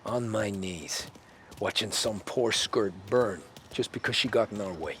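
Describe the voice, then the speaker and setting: A man's voice: lowish voice, unhurried, fairly bright tone, slight background noise. An actor playing a cautious, world-weary American mobster and family man, terse and streetwise, in a crime game. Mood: brooding. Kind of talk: cinematic crime game acting. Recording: music behind the voice.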